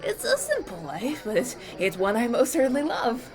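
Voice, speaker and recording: Mann spielt nervösen, lebhaften jungen Bauernburschen. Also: male voice, extremely high pitch, huge pitch swings, normal speed, full character voice. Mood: nervous, friendly. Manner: hesitant, earnest, stammering. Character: polite, nervous young human farm boy at elven banquet. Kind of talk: fantasy audio roleplay acting. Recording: banquet crowd chatter behind.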